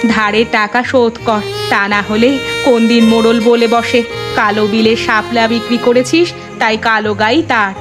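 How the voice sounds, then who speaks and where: Cartoon role: old village mother, woman actor. Plaintive, exhorting, melodramatic, fairly high pitch, clear music bed underneath. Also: little pitch movement, normal speed, close to an everyday voice. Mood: bitter, sad. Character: sorrowful, resolute elderly village mother of a grown son. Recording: music behind the voice. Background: background music.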